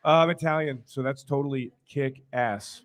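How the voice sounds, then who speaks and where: A man's voice: mid-range voice, moderate pace. A man chatting casually as a panelist in a group radio show. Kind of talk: casual banter. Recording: clearly noisy background with clicks.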